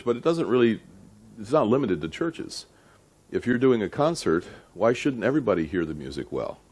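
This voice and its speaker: A middle-aged man with a low voice speaks as a lecturer at a technical seminar. He is deliberate and conversational, leaning on key words for emphasis and turning to his listeners with short rhetorical questions. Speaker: audio engineering lecturer.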